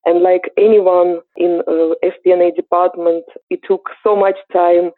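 Ukrainian woman in her forties, podcast guest, halting and thoughtful, heard over a thin, dry phone line. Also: on the low side, flat delivery, dry recording. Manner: thoughtful, many hesitations. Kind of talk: podcast interview answers.

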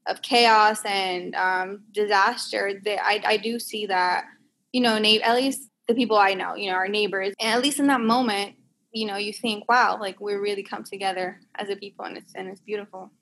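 Young woman guest from Puerto Rico, earnest and halting, faint background noise. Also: mid-range voice, steady tone, a bit bright. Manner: earnest, hesitant with "um" pauses. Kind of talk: casual conversational discussion.

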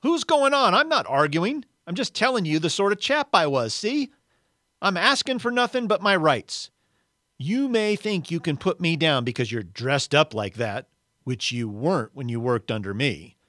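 A man voicing an indignant working-class ghost from a story, blustering and argumentative in rough colloquial grammar. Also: a little high, animated, moderate pace, pushed and bright, no room sound, clean studio sound, voice pushed up. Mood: indignant, defensive. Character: indignant, aggrieved working-class male ghost demanding his rights.

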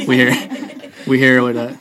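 A man hosting a casual podcast, laid-back and joking, with lots of fillers. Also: mid-range voice. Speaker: podcast host.

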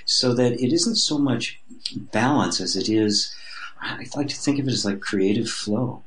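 A man's voice: mid-range voice, a little slow, a bit bright, poor audio. A mature man speaking as an interview guest, explaining his personal-growth teaching in a reflective, conversational way with frequent restarts.

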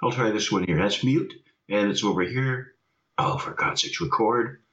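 A man's voice: fairly low pitch, normal speed, a bit bright. A man calling into a show over a video call, chatting casually and fumbling with the buttons. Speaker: caller. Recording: roomy, dull video call audio.

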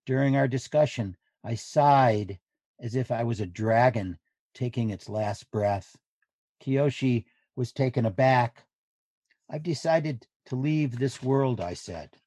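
A man giving a literary reading of diary entries in measured, deliberate phrases. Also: mid-range voice, darkish tone, no echo.

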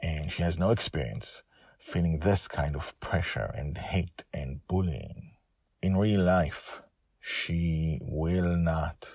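A middle-aged man speaking as an author and podcast host, dramatic and emphatic, with deliberate pauses and repeated phrases. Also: sits low. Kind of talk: emphatic solo storytelling podcast commentary and credits.

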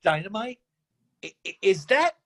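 An actor as an earnest, animated wandering father. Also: sits high, exaggerated voice. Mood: stunned. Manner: effusive, persuasive, halting with sighs. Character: Dawson, earnest free-spirited middle-aged wandering father. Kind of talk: staged screenplay reading.

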